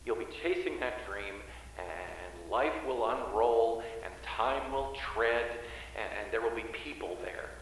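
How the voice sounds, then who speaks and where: Earnest dreamer, male actor, theatrical and lyrical, mid pitch, long reverberant echo, faint noise. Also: expressive, unhurried. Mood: wistful, searching. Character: wistful philosophical man, earnest and playful. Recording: very echoey room, distant mic, faint noise.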